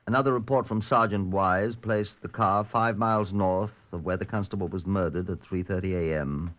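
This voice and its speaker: A low, muffled male voice from an old radio recording. a chief inspector, dry and determined, narrating his case in clipped, measured phrases. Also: fairly bright tone. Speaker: actor, narrator and lead of radio drama. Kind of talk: golden age radio police drama acting.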